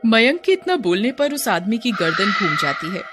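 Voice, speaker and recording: Female narrator, scripted cartoon horror tale. Medium pitch, storytelling delivery, clear music bed underneath. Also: very animated, normal speed, fairly bright tone.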